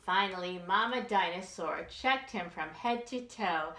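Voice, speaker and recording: A woman's voice: on the low side, unhurried, sharp tone, reverberant, slight background noise. A woman reading aloud at a children's storytime in a sing-song, exaggerated way, inviting the children to join in.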